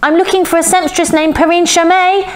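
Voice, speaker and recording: Woman as determined young girl, storybook heroine, high pitch, indignant, urgent, insistent. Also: little pitch movement, fairly quick.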